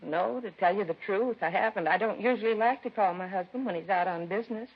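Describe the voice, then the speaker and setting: An actress playing a worried, polite housewife in a 1950s radio drama, hesitant yet insistent. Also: female voice, mid-range voice, quick pace, slightly rough. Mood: polite, uneasy. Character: anxious, polite housewife waiting for missing husband. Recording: dull vintage radio recording.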